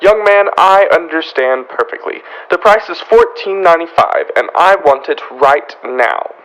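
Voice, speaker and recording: A man's voice: a little high, lively intonation, measured pace, fairly bright tone, rough recording. A man playing a fussy, haughty department store floorwalker, prim and pompous, in an old-time radio comedy. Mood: exasperated, demanding.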